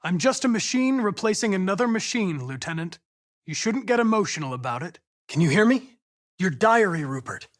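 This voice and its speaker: A young american android detective in a video game, polite but determined, pretty high voice, super precise and formal. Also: male voice, sing-song, moderate pace. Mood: earnest, probing. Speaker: actor voicing a video game character.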